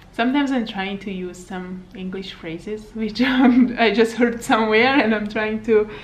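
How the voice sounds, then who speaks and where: A woman in her thirties with a Hungarian accent talks to a camera on her table in a living room, rambling in a casual, chatty way. Her voice sits at an ordinary middle pitch, and a faint hum of room noise stays under her words. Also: measured pace. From Slovakia. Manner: rambling, chatty, frequent laughs and fillers. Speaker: fitness vlogger and coach. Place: home living room, indoors. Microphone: camera on table at arm's length.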